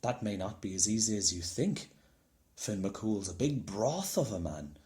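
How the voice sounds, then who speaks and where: A man voicing a giant's wily wife in a storybook, sweet and coaxing with a calm cunning. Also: on the low side, unhurried, pitched below the performer's own voice. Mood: coolly boastful. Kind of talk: storybook character voice.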